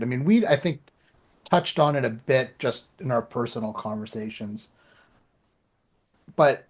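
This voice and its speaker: Higher-voiced man in casual podcast chat. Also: darkish tone. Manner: colloquial, acts out remembered exchanges. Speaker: podcast co-host and author.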